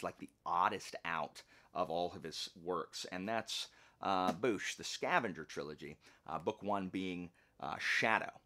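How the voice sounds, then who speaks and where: A man's voice: on the low side, a bit bright. A man hosting a book channel, chatting casually in a folksy, jokey way and often interrupting himself. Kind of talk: casual vlog chat.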